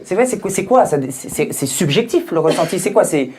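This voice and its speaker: A man's voice: sits high, animated, brisk, background noise. A man from France doing a stand-up routine on a TV show stage, chatty and teasing the audience. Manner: jokey, conversational, teasing the audience. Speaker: stand-up comedian. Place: TV studio stage with live audience. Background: audience laughter.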